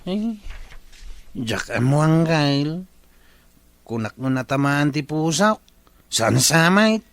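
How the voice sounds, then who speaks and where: A man's voice: fairly high pitch, expressive, slow, fairly bright tone, dry recording, full character voice. An actor with an Ilocano accent playing a shy, simple, lovestruck village bachelor, drawing out the ends of his phrases. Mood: reluctant. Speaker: actor in a comedy drama.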